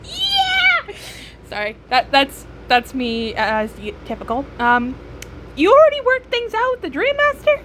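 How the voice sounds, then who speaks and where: A woman's voice: very high voice, wildly swooping pitch, measured pace, fairly bright tone, a little hiss or hum. A woman giving casual gaming commentary as she plays, playful and wry, with slangy asides. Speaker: game streamer.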